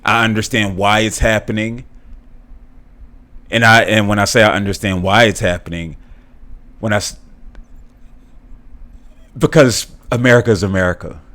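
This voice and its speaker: A man's voice: measured pace, long pauses, fairly bright tone. Earnest man giving solo podcast commentary. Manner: earnest, repeats and restarts words, rises in pitch when stressing points. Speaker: podcast host.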